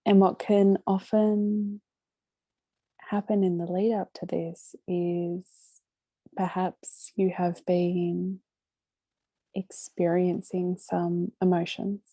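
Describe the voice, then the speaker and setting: A woman guides a meditation in a very soft, dark-toned, relaxed voice with a gravelly edge of fry. She speaks gently and very slowly, leaving long pauses between short phrases. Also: lowish voice, dry recording, low-quality recording. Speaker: meditation guide hosting a live session.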